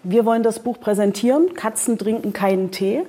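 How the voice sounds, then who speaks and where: A woman's voice: medium pitch, fairly quick, dark tone. A woman, a publisher moderating a book presentation in front of an audience, chatty and hesitant with many fillers. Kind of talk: casual event moderation. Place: event venue with audience.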